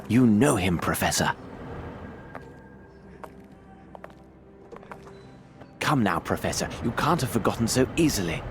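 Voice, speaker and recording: Composed, shrewd Victorian detective for a game. Low pitch, formal deliberate delivery, slight background noise. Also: male voice. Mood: grave, insistent. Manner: formal, deliberate, theatrical phrasing. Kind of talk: video game dramatic acting.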